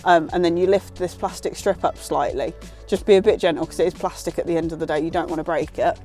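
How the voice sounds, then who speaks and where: A woman's voice: on the low side, a little mellow. A British woman giving a casual, hands-on tutorial in an informal, self-deprecating way. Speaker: presenter of a car installation guide.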